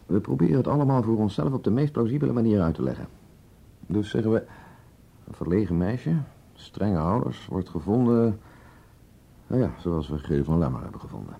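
A probing police commissioner in a radio play. His low voice is gravelly and rough, and he presses on with dry, measured insistence. The recording is slightly dull. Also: expressive, exaggerated voice. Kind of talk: radio drama acting.

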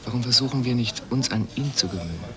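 A man's voice: medium pitch, animated, fast, close to an everyday voice. An actor playing a curious, wistful intellectual man in a radio play, talking in a musing, probing way. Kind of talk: German radio play acting. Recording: noisy ambient background.

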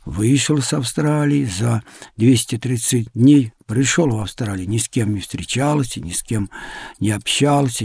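A man, likely middle-aged, with a husky, gravelly voice tells stories conversationally to children in simple, loosely phrased explanations. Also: on the low side, a little mellow, no echo. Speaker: sailor and traveller guest.

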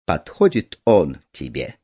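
A man voicing a wise sage and wizard in a storybook tale, ceremonious, deliberate and oratorical. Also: extremely low pitch, huge pitch swings, darkish tone, breathy edge, no room sound, voice pushed down, heavily acted. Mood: gentle questioning.

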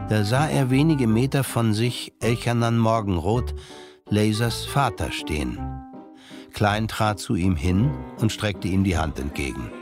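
A man's voice: fairly low pitch, expressive, measured pace. A man narrating a literary radio drama in scripted German storytelling style. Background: music.

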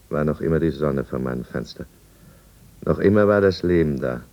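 Very deep, brooding confessional narrator. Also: male voice, heavily acted. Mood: brooding, remorseful. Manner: measured, brooding. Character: remorseful male drinker confessing his own downfall. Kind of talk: scripted first-person narration in 1950s German radio drama. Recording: dull, muffled 1950s radio recording.

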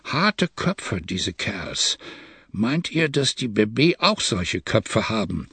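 A man reading an excitable lord, stammering over hesitations as he talks. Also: lowish voice, slow, husky, no room sound, full character voice. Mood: eager. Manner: excitable, hesitant with "äh", English interjections. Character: eccentric, bumbling English lord, older man. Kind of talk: audiobook character reading.

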